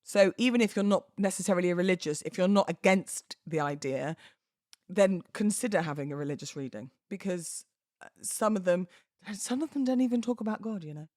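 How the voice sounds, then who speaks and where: A woman's voice: on the low side, brisk, a little mellow, no echo, clean studio sound. A woman hosting a podcast solo, talking informally and conversationally in a self-deprecating way. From United Kingdom. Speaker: podcast host. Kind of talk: chatty solo podcast with readings aloud.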